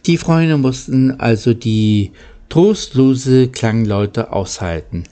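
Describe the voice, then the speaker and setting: A man narrating a children's story from a script, in a halting way that stumbles over words. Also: mid-range voice, mellow. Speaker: audiobook narrator.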